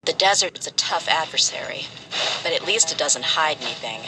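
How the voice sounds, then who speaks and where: Tough young desert fighter, confident, lively swings in pitch, video game style, loud music behind. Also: female voice, moderate pace, low-quality recording. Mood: calm, resolute.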